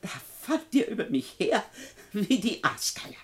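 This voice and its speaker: An actress in a 1960s radio play, playing a rich, bedridden old widow who is defiant, imperious and sharp-tongued. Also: female voice, medium pitch, big pitch swings, a little slow. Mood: amused defiance. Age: older. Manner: imperious, commanding, sardonic.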